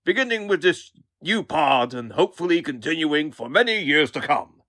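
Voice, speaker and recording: A man's voice: a little high, very animated, moderate pace, fairly bright tone, no echo. A man voicing a pompous older newspaperman hosting a podcast in a comic book dub, bombastic and theatrical. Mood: grudging, grandiose. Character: pompous, blustering older male newspaperman turned podcast host.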